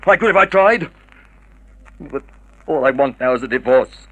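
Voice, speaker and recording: An actor playing a proud, bitter wronged husband, formal and commanding, in vintage radio drama style. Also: male voice, mid-range voice, animated, normal speed, a bit bright, no room sound, muffled recording. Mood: cold, bitter. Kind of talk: 1950s radio drama acting.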